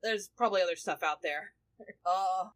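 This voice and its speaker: A woman's voice: medium pitch, normal speed. A woman chatting casually as a panelist on a tabletop gaming podcast. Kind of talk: casual chat.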